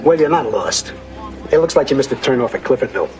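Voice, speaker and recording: An actor playing an easygoing, wry small-town diner owner in a folksy, offhand, naturalistic film style. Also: male voice, mid-range voice, lively intonation, fast, a little mellow, a little hiss or hum, natural voice.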